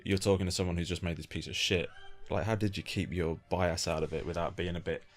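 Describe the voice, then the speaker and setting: A man's voice: sits low, steady tone, fast talker. A man interviewing someone in a casual, blokey, informal chat. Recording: lo-fi amateur interview setup, music underneath.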